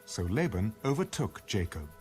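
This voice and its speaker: A man narrating an ancient scripture story in a measured, dramatized audio Bible style. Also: lowish voice, very animated, darkish tone, husky, faint music behind, full character voice. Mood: solemn narration.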